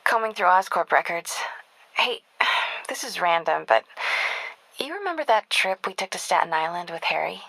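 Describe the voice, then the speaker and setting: An American actress playing a young woman reporter in a video game, caring, wry and quick-witted, in natural conversational dialogue. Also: fairly low pitch, animated, gravelly, bright, forceful tone, no room sound, full character voice, poor audio. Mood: wistful.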